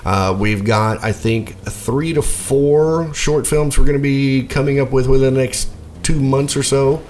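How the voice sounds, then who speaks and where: A man's voice: mid-range voice, steady tone, measured pace, darkish tone, a little hiss or hum. A man co-hosting a casual radio show, rambling with lots of fillers and jokes. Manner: rambling, filler-heavy, joking. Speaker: podcast co-host.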